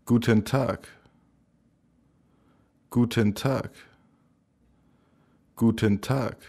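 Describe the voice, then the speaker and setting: A man reading short phrases slowly and carefully, each one repeated three times, for a language lesson. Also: mid-range voice, steady tone, darkish tone. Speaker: language teacher. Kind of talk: slow repeated phrase drill.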